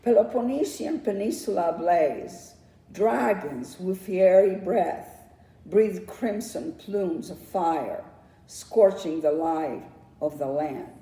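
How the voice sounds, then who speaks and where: A woman narrates a children's storybook in a very dark, mellow voice with some gravel in it. Her phrasing is dramatic and drawn out, with lively swings in pitch. She sounds set back from the microphone in an echoey room. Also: slow pace. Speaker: children's author reading own book. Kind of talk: storybook read-aloud narration. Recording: roomy echo, distant microphone.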